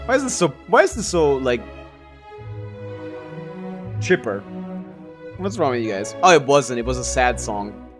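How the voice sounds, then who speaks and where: Casual, profane man livestreaming a game. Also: mid-range voice. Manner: profane, complaining. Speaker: live streamer playing a video game. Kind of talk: casual rambling gaming chat with viewers.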